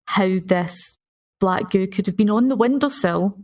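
A woman's voice: medium pitch, measured pace, a little mellow, distant mic. Female podcast panellist, mid-range voice, casual chat, sitting back from the mic.

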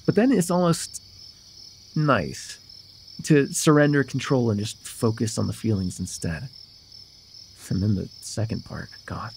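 A young man voicing a casual, mischievous vampire chatting informally and haltingly in an immersive roleplay. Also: on the low side, creaky, a little mellow, slight background noise. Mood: relaxed, playful. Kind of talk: immersive ASMR roleplay voice acting.

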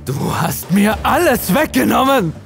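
A man's voice: very high-pitched, big pitch swings, unhurried, creaky, faint music behind, voice pushed up, full character voice. A man voicing a desperate, furious man in his thirties in a horror story, agitated and defiant. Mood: accusing.

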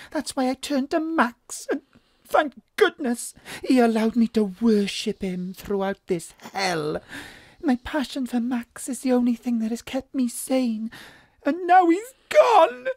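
A woman's voice: sits high, lively intonation, unhurried, darkish tone, dry recording. A woman playing a melodramatic gangster's widow, desperate and devoted, in an audio drama. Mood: anguished, devoted.

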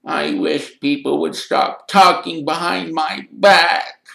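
A reader voicing a self-pitying, melodramatic ghost girl with tearful, drawn-out wailing. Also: medium pitch, lively intonation, fairly bright tone, voice pushed up. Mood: tearful, hurt. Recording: roomy echo.

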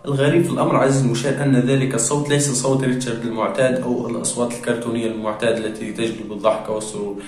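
A young man presenting a scripted explainer to viewers in formal, measured phrasing. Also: mid-range voice, flat delivery, a little mellow, soft background music. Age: young adult. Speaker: video presenter. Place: small tiled room, indoors. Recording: echoey room sound.

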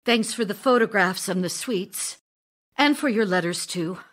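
A woman's voice: mid-range voice, measured pace, close to an everyday voice. A woman playing an ardent, sentimental stage actress in an audio drama, earnest and wistful as she recalls her romance. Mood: longing, tender. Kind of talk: theatrical audio drama, narrated memories and love letters.

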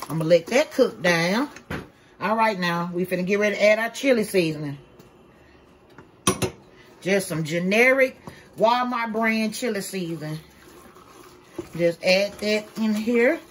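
A woman's voice: medium pitch, a little slow, pauses often, slight background noise. A woman, chatting casually to viewers as she cooks in her home kitchen, calling them y'all. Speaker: vlogger. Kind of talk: casual cook-with-me vlog. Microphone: camera held by someone else.

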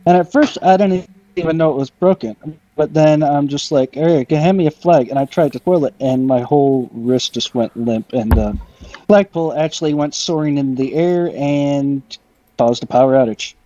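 A man's voice: a little high, normal speed, dark tone, no echo. A young American man casually telling personal stories over gaming voice chat. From United States, Michigan. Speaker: guest storyteller on gaming video. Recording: dull lo-fi voice chat.

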